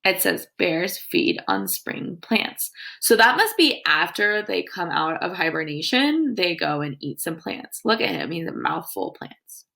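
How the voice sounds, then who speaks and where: A woman teacher with a creaky voice reading aloud to young children in an instructive way, with her own comments and questions. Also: fairly low pitch, very animated, measured pace, few pauses, sharp tone.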